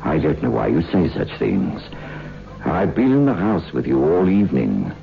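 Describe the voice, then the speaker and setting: An actor playing a menacing, domineering man with a pet tiger, brusque and smoothly controlling, in 1960s radio horror style. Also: extremely low pitch, huge pitch swings, moderate pace, full character voice. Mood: controlling, falsely soothing. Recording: dull old radio recording, music behind.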